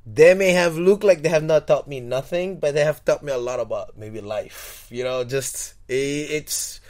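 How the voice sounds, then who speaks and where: A man's voice: on the high side, normal speed, darkish tone. A young man from Togo, a podcast guest, talking in a rambling, reflective way with many restarts and repeated words. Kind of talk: casual interview.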